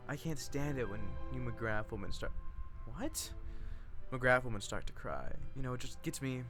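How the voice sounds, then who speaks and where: Guy playing a laid-back young doctor from a play, plain halting delivery, music in back. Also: medium pitch, animated, natural voice. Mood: consoling. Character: laid-back thirty-year-old small-town doctor in a stage play. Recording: background music behind the voice.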